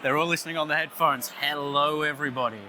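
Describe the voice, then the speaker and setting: A man with an Australian accent giving a playful conference talk, loudly joking and chatting with the audience. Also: a little high, steady tone, fast talker. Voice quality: loud voice. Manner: jokey, playful, chatty with the crowd. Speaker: conference speaker. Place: conference stage, audience on headphones.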